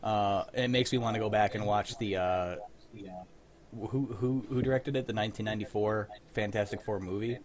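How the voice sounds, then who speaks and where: A man's voice: fairly low pitch, fairly even intonation, moderate pace. A man hosting a livestream, chatting casually in slangy, enthusiastic pop-culture fan talk. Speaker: host of a livestream script-reading show. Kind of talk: casual chat.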